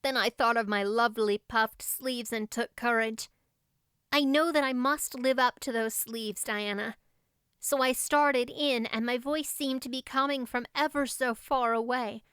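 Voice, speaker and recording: A woman's voice: fairly high pitch, fairly even intonation, a little slow, fairly bright tone, smooth, no echo, clean studio sound, voice pushed up. A woman voicing a dreamy, dramatic thirteen-year-old girl in an audiobook, gushing and romantic. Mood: excited, gushing. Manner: breathless, gushing, lofty at times. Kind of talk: audiobook character voice.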